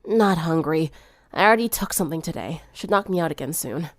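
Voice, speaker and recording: A woman's voice: sits low, lively intonation, a little fast, no echo, studio quality. A woman voicing a stubborn, sick teenage girl in a story reading, weary and groggy as she brushes off her friends.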